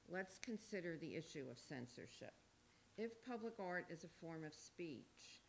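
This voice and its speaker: Low-voiced older woman reading a lecture. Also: slight background noise, poor audio. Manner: reads formally, closes with questions. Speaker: panel speaker. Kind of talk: prepared lecture. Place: wood-panelled hall with audience.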